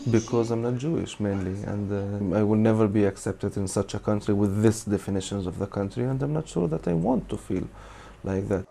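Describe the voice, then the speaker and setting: A man answering interview questions earnestly, speaking in a halting way with small restarts. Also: on the low side, creaky, mellow. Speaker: screenwriter interviewed.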